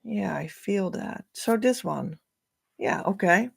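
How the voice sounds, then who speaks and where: A middle-aged woman whose first language is Dutch, giving an oracle card reading as a spiritual coach, speaking to camera in a slow, musing way. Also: medium pitch, expressive, creaky, husky. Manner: slow, musing, drifts between soft and louder emphasis.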